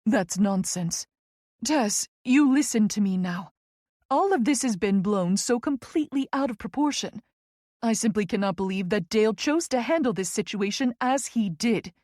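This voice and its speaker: A woman performs a commanding female senior boss from an audiobook novel. She is smooth-voiced, dramatic and fiercely protective, speaking with strong emphasis in a dry, close recording. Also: medium pitch, lively intonation, normal speed, darkish tone, no room sound, natural voice. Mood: indignant. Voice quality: smooth alto.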